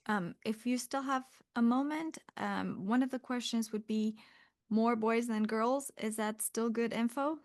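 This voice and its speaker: A woman's voice: on the high side, unhurried. A woman moderating a webinar, reading attendee questions aloud and speaking directly about interpreter ethics.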